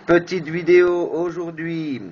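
A man's voice: fairly high pitch, flat delivery, a little slow. A man hosting a casual amateur radio chat, informal and hesitant as he searches for words. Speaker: amateur radio operator and video host. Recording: noisy, muffled FM radio room recording.

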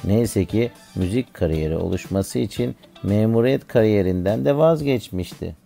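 A man as low, gravelly cartoon schoolboy pupil. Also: lively intonation, normal speed, dark tone, faint music behind. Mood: earnest, informative. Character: schoolboy pupil reciting facts earnestly. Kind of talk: scripted educational dialogue.

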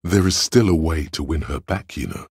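An actor playing a calm, analytical inner voice of logic in a video game, gravelly and husky, speaking in a dry, measured, knowing way. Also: male voice, a bass voice, no room sound, exaggerated voice. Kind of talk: video game voice-over narration.

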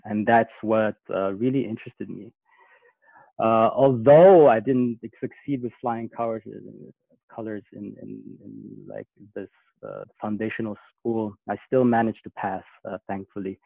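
Man from Austria, low voice, hesitant, lots of uhs, dry muffled video-call sound. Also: fairly even intonation, measured pace, creaky, no room sound. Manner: hesitant, many fillers and restarts. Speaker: guest speaker. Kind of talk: casual presentation on a video call.

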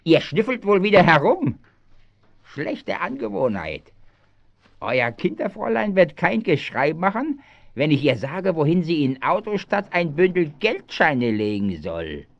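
An actor playing a gruff, threatening caravan thief with a sneering manner in a German children's radio play. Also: male voice, on the high side, very animated, unhurried, exaggerated voice. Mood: menacing, sneering. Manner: menacing, sneering. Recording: dull 1970s audio drama recording.

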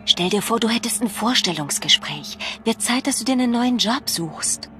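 A woman's voice: on the high side, lively intonation, moderate pace, sharp tone. A woman voicing a seductive, self-assured secret agent, flirtatious and knowing, in a German video game. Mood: sly. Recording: music behind the voice.

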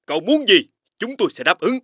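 A man playing a wealthy, decisive father in an animated folk tale, formal, effusive and authoritative. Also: high voice, brisk, husky, dry recording, studio quality, full character voice. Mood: grateful. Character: grateful, decisive wealthy father of a grown daughter.

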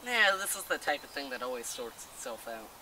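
A young man in his late teens playing a deadpan, flippant hiker in an amateur comedy sketch. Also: medium pitch, brisk, natural voice. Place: outdoors on a woodland trail. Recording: outdoors with faint ambient noise.

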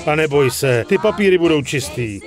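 An actor playing a confident, streetwise young gangster pal in a dubbed game, casual, slangy and self-assured. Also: male voice, fairly high pitch, lively intonation, soft background music, natural voice. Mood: confident, brotherly. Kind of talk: dubbed video game cutscene acting.

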